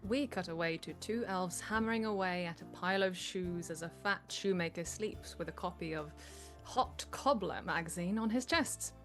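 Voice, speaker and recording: A woman narrates a script at a live table read, delivering the stage directions. Her voice sits slightly low, and faint music plays along with it. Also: moderate pace, fairly bright tone.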